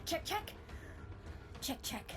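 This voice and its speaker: A woman playing a reckless, excitable young street racer girl in a game cutscene, talking in urgent slang. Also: takes it slowly, over music, natural voice.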